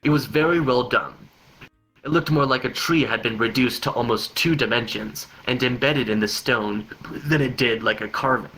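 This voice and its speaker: A man's voice: medium pitch, normal speed, a bit bright, faint music behind, poor audio. A man reading a story aloud unrehearsed, often stumbling and repeating words. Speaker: reader of a long joke story.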